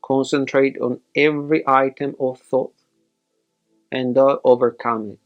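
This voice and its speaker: A man leading a guided meditation as a teacher, giving measured instructions in short, separated phrases. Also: mid-range voice, level tone, dull audio, faint music behind.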